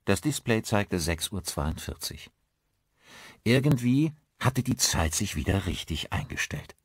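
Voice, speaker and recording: Low, husky male horror audiobook narrator. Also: big pitch swings, unhurried, no room sound, studio quality. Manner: suspenseful, theatrical. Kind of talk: dramatic scripted narration of a horror story.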